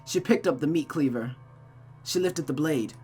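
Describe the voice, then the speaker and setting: A woman's voice: low voice, moderate pace, darkish tone, slightly rough. A woman reading a visual novel aloud as host, narrating the story with casual asides. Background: music.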